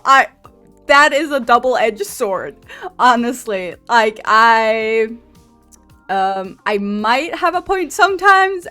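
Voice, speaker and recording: Slow-talking woman with hesitant, laughing speech. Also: on the high side, sharp tone. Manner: hesitant, laughs often, lots of fillers. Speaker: podcast co-host. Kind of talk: casual interview chat.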